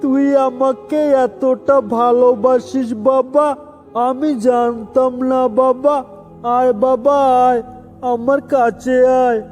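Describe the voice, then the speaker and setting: A man voicing an ailing, loving village father in a cartoon, tender and drawn-out. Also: very high voice, flat delivery, darkish tone. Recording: long reverb with music behind.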